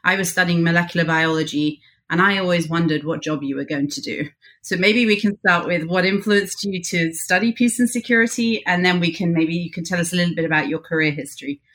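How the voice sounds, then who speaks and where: A woman's voice: fairly low pitch, a little fast, a bit bright. A middle-aged woman interviewing an old college friend, speaking in a chatty and admiring way. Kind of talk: conversational interview.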